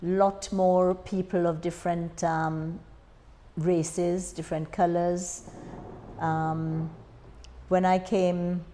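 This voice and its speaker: A woman's voice: fairly low pitch, flat delivery, takes it slowly, warm tone. An older woman in her sixties with a Jamaican accent, reminiscing in an oral history interview. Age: 60s. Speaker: interviewee, former overseas student nurse. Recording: echoey hall, faint background noise.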